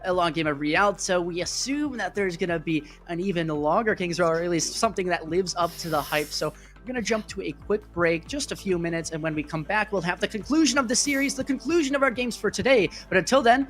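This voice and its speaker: A playful esports commentator with a mid-pitched voice calls the action live. The delivery is conversational, and music plays clearly behind the voice. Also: brisk, hardly any pauses, fairly bright tone. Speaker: esports commentator and broadcast host. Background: background music.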